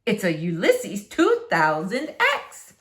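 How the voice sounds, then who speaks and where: A woman's voice: medium pitch, huge pitch swings, takes it slowly, a bit bright, full character voice. A woman voicing an enthusiastic, boastful storybook husband with a salesman-like delivery. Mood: proud. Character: enthusiastic husband showing off a vacuum cleaner gift.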